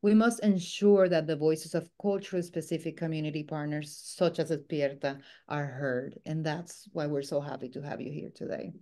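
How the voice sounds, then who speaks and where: Low-voiced woman co-host. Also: steady tone, dark tone. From Puerto Rico. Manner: formal, deliberate with filler pauses. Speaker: co-host, staff of the organization. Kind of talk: scripted panel questions.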